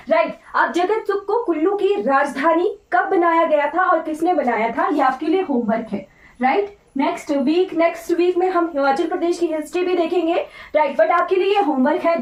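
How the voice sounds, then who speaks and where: An echoey, distant recording of an Indian woman teaching a class. Her voice is high and slightly off. She lectures informally, repeating key points and often checking that students follow. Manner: repetitive, instructive, often checking with "right?". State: voice slightly off today. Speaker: teacher of an online exam-prep class. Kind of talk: informal lecture mixing Hindi with English terms. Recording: roomy echo, distant microphone.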